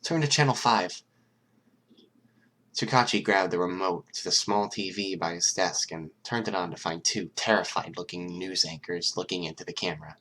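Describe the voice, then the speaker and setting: Low, husky storyteller reading fiction. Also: fairly even intonation, fairly quick, few pauses, pushed and bright, poor audio. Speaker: audiobook narrator. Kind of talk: scripted fiction narration.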